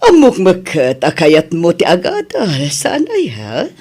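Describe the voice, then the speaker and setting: A woman acting a husky, animated Ilocano mother. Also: on the low side, slow, exaggerated voice. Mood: tender, encouraging. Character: caring, encouraging middle-aged mother of grown children. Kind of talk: Ilocano radio drama acting.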